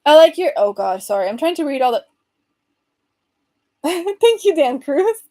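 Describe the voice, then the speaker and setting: A young woman from Venezuela speaks with a Venezuelan accent and a warm, soft tone that sits a little high. She chats loosely with her viewers, reading their comments aloud, with lots of fillers and laughs. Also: big pitch swings, measured pace. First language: Spanish. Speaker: host. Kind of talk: casual livestream chat.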